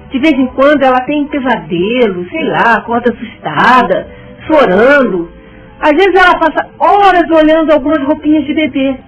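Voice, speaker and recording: A woman's voice: sits high, muffled recording. An actress playing a worried, emotional woman in a radio soap opera, speaking in a dramatic, pleading way. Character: Marta, worried emotional woman, anguished friend. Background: music.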